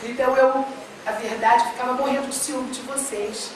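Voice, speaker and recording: A woman's voice: high-pitched, fairly even intonation, moderate pace, background noise. Middle-aged Carioca woman on a panel, earnest and filler-heavy, set back from the mic in a roomy hall. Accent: Carioca Portuguese, mixed with São Paulo. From Rio de Janeiro, Brazil. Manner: earnest, many fillers, warms to emphatic. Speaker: education official speaking on a panel. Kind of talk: public forum speech. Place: large hall at a public forum. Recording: echoey hall, speaker far from mic.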